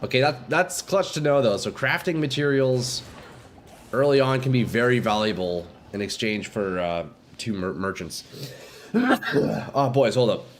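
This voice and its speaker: A man's voice: medium pitch, sing-song, measured pace, noisy background. A young man streaming a game live with a stuffy nose, chatting with viewers in a laid-back, slangy way at a desk microphone.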